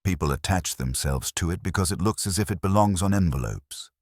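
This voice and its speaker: A man's voice: low-pitched, animated, brisk, darkish tone, dry recording, studio quality, pitched above the performer's own voice. A man voicing an agreeable, dutiful junior policeman who reports drolly and matter-of-factly in a mystery story. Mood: dutiful, droll.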